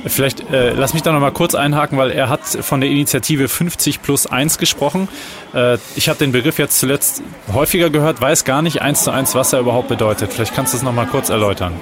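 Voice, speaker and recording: A man's voice: medium pitch, quick pace, noisy background. A male radio host introducing a report and asking his reporter questions. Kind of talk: radio programme hosting. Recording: music bed underneath.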